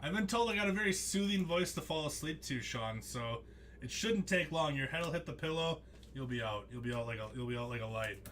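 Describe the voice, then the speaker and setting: Lively, bright-voiced young man hosting a card break. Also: fairly high pitch, fairly quick, a little hiss or hum. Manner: chatty, laughs often, reads out pulls and answers viewers by name. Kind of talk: live-stream card break with chat banter.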